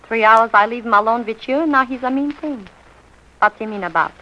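An actress playing a shrewd, soothing middle-aged mama with a New York Yiddish-inflected accent and muddled folksy grammar, in 1940s radio comedy style. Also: female voice, a little high, brisk, bright, forceful tone. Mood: wry. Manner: malapropisms and inverted, folksy grammar. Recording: muffled vintage radio recording.

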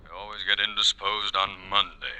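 Man playing a gruff, pompous, sardonic boss. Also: on the low side, fairly even intonation, a little fast, close to an everyday voice. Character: gruff, pompous, suspicious middle-aged male boss. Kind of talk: 1950s radio comedy acting. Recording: very noisy, crackly vintage radio.

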